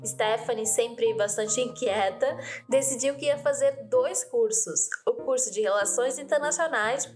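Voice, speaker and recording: A young woman from the Brazil-Uruguay border, who grew up with Portuguese and Spanish, tells her life story in a reflective, measured way. Her voice sits a little high for a woman. A faint music bed runs under her. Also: darkish tone. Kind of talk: personal storytelling.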